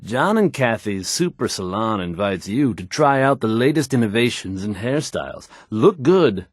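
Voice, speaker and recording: An actor playing a confident, smooth radio DJ in a post-apocalyptic game, reading announcements in a polished, showy way. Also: male voice, mid-range voice, big pitch swings, normal speed, no room sound, clean studio sound.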